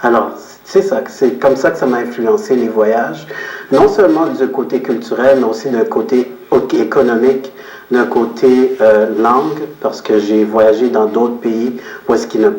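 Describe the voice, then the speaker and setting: A man answering interview questions as a guest, explaining his outlook in a reflective, measured way and repeating phrases for emphasis. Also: medium pitch, darkish tone. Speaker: interview guest, photographer and business owner. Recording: roomy echo, distant microphone.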